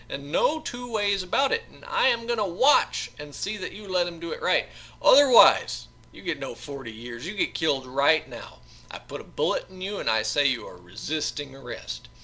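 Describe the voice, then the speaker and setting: A man's voice: fairly high pitch, bright, forceful tone, heavily acted, poor audio. A man voicing a cynical prison guard who drawls folksy, mock-reasonable threats in an audiobook. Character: menacing male Southern prison guard, drawling, cynical, bored.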